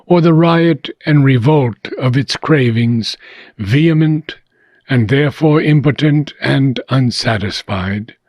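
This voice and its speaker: A man reading a philosophical book aloud in a measured, deliberate way, pausing between short phrases, with a husky voice. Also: mid-range voice, darkish tone, no room sound. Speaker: audiobook reader.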